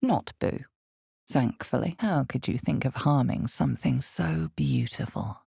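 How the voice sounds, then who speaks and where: An ominous female narrator of a dark fantasy game. Her voice is extremely low and heavily creaky, with a husky grain. She tells the tale slowly and theatrically, weighting each pause. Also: lively intonation, dry recording, studio quality, exaggerated voice. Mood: ominous, measured. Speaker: narrator of a fantasy role-playing video game. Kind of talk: dramatic second-person scripted narration.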